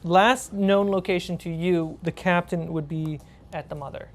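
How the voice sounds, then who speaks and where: A woman's voice: lowish voice, fairly even intonation, moderate pace. A woman running a tabletop role-playing game as game master, narrating scenes and prompting her players.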